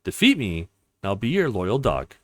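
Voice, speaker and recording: A man's voice: lowish voice, huge pitch swings, moderate pace, fairly bright tone, pitched below the performer's own voice, full character voice. A man voicing a cocky, haughty retired female mercenary who taunts her opponent, in a visual novel read-aloud. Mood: haughty, bargaining.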